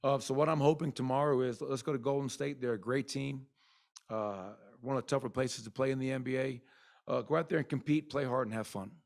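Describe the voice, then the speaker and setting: Candid rambling coach at a press conference. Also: male voice, medium pitch, a little fast, vocal fry. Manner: candid, rambling with many fillers. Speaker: basketball head coach. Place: arena press room. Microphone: desk mic in front.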